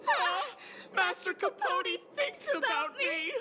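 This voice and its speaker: High, gushing young fangirl. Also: sing-song, slow, pushed and bright, a little hiss or hum, heavily acted. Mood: swooning. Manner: gushing, earnest. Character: adoring, excitable young female fan. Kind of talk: video game voice acting. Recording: game music behind the voice.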